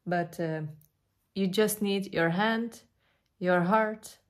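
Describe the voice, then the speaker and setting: A woman's voice: mid-range voice, steady tone, slow. A woman in her thirties from Belgium, a native Dutch speaker with a Flemish accent, chatting casually to camera and hesitantly searching for English words. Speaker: vlogger showing her artwork. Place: living room, indoors.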